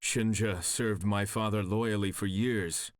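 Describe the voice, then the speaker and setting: Deep-voiced, brooding exiled warlord. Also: male voice, steady tone, takes it slowly, no echo, studio quality. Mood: guarded. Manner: solemn, terse, commanding. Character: Kenji, brooding exiled young warlord of a serpent clan. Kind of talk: dramatic video game cutscene acting.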